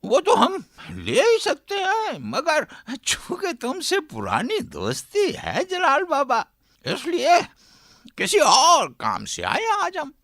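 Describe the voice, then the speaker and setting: A gloating old father and moneylender in a radio play voiced by a woman. The voice is very high and swoops wildly, with a creaky edge. The lines are oily and drawn out, full of chuckling mockery, in a dry recording. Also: huge pitch swings, a bit bright, no room sound, full character voice. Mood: smug. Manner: drawn-out, sing-song wheedling with chuckles. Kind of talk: radio drama acting.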